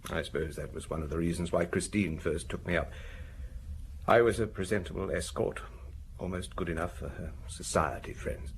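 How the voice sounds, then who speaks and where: A man's voice: low-pitched, steady tone, moderate pace, a little hiss or hum. An actor playing an anxious, self-justifying, well-dressed provincial man suspected of murder in a radio drama, speaking in a hesitant, confessional way. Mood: wistful, self-regarding. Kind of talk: broadcast radio drama acting.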